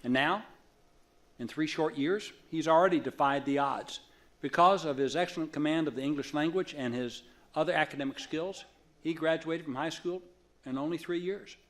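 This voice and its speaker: Higher-pitched man giving a ceremony speech. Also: normal speed, fairly bright tone. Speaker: board chair introducing an honoree. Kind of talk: formal speech at an awards ceremony.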